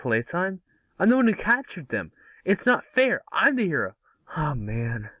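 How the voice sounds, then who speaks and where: A man's voice: on the high side, huge pitch swings, a little slow, a bit bright, exaggerated voice. A man playing a cocky, boastful boy superhero made of fire in an amateur cartoon table read. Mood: whiny, indignant. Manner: boastful, wisecracking.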